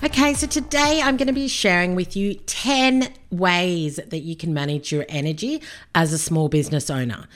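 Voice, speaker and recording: A woman's voice: lowish voice, moderate pace, soft background music. A woman with an Australian accent hosting her podcast in a chatty, upbeat way, addressing the listener directly, her voice a little nasal from illness. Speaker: podcast host. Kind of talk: casual solo podcast talk.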